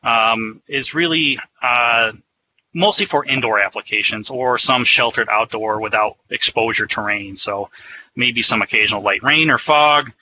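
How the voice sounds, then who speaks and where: A man's voice: mid-range voice, a little slow, pushed and bright, slight background noise, low-quality recording. A man giving an explanatory, conversational product presentation in a semi-scripted webinar style.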